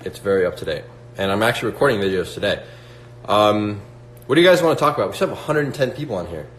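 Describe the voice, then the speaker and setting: Young guy on a livestream, lowish voice, echoey room with a faint hum. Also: animated, fast talker. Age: 20s. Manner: motivational, reads out chat questions, many fillers like "like" and "right?". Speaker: livestream host. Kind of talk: casual livestream chat with viewers.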